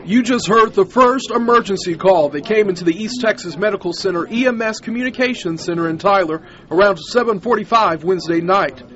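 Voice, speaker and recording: Male field reporter, high voice, slightly dull audio, live news delivery. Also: a little fast, few pauses, fairly bright tone. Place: emergency communication center.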